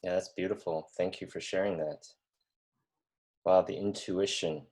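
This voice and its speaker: A man in his thirties from mainland China with a fairly low voice hosts a reflective talk. He speaks earnestly and at an easy pace, thinking aloud and circling back with fillers and restarts. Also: fairly even intonation, moderate pace, darkish tone. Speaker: podcast host. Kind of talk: reflective conversation.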